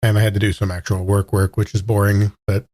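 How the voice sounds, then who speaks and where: A man's voice: lowish voice, flat delivery, fast, darkish tone, dry recording. A man chatting casually as a cast member on a tabletop game podcast. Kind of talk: casual chat.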